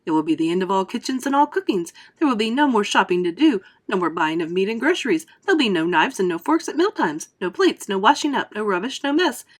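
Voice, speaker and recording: A woman voicing an eccentric, excitable male candy inventor who boasts grandly about his latest invention. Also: fairly high pitch, brisk. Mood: boastful.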